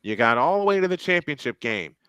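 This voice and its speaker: Male play-by-play caller, chatty between plays, crisp and dry. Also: a little high, sing-song, a little fast, bright, forceful tone, dry recording. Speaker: play-by-play commentator. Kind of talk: live football commentary.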